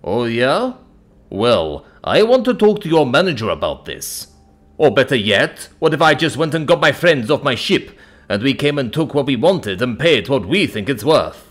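Casting: indignant bullying space traveller, played by a narrator man, loud bluster, animated pitch, sci-fi audio story. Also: normal speed. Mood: blustering threat. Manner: loud, confrontational.

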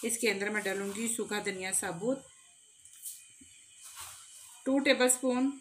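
A woman's voice: medium pitch, unhurried. A middle-aged woman, a cooking channel host talking viewers through a recipe step by step in a friendly, chatty way in her home kitchen. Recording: echoey kitchen with faint background noise.

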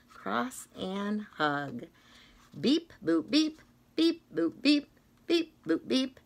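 A middle-aged woman reading a children's picture book aloud like a teacher, in an expressive, rhythmic sing-song manner with drawn-out phrases. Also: a little high. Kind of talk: read-aloud of a children's story. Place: small indoor classroom-style room.

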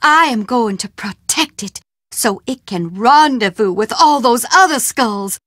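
Sly housekeeper schemer for a game, lively drawling delivery, bright pitch, close dry read. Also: female voice, unhurried, no room sound. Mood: gloating, scheming. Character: scheming Southern housekeeper woman, mystical, secretive. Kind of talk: video game voice acting.

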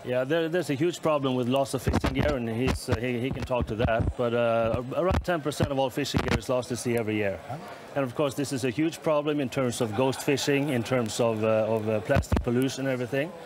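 A man's voice: mid-range voice, a little fast, very noisy. A man, a company executive, explaining a product matter-of-factly during an interview in a large expo hall.

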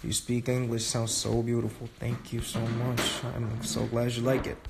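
A young man hosting a casual phone livestream from a dim room at night, reading viewer comments aloud and chatting back in a relaxed way. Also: mid-range voice, moderate pace, creaky, background noise. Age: young adult. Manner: relaxed, chatty, switching between English and Korean. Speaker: livestream host. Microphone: phone held close at arm's length.

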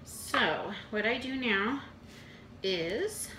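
A woman in her thirties casually showing her meal prep at home, talking in an unhurried way with many fillers. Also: a little high, expressive, sharp tone. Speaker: fitness coach vlogger. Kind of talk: casual how-to vlog. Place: home kitchen, indoors. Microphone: camera on the counter. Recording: echoey kitchen with room noise.

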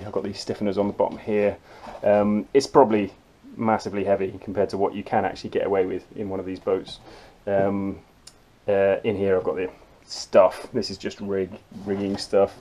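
Low-voiced man touring a tiny cabin. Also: dark tone. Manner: matter-of-fact, practical, with frequent ums. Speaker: sailor giving a boat tour. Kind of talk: casual walkthrough. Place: cramped cabin of a small yacht. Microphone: handheld action camera close up. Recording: close camera mic with handling clicks and noise.

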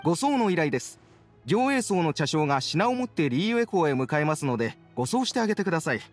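A man's voice: a little high, big pitch swings, fast talker, soft background music. A man playing a courteous, businesslike young clerk in a video game, speaking in polite, formal phrases. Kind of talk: Japanese video game voice acting.

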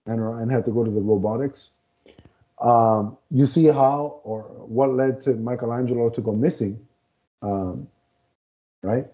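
A man's voice: medium pitch, measured pace, mellow. A man co-hosting a casual comic review podcast from a home room, talking through a headset on a video call and often searching for words. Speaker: podcast co-host. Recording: dull lo-fi video call audio.

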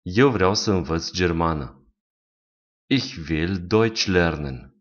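A man teaching German phrases in a slow lesson, saying each phrase twice with the second repeat slower. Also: low-pitched, expressive. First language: Romanian. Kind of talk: slow language lesson with repetitions. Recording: dull lo-fi recording.

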